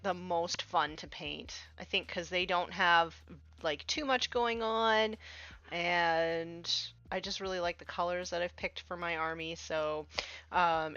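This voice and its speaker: A woman's voice: medium pitch, a bit bright, no room sound. Young woman stream host, sniffly, rambling chatty delivery, muffled lo-fi dry audio. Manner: chatty, loose, many fillers. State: slightly sniffly, coming down with a cold. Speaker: stream host painting miniatures. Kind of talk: casual live-stream chat while working.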